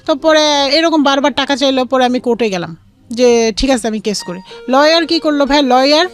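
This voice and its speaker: Young Bangladeshi woman as interview guest, very quick personal storytelling with quoted dialogue, soft music underneath. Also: high-pitched. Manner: mixes in English words. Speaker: guest telling her life story.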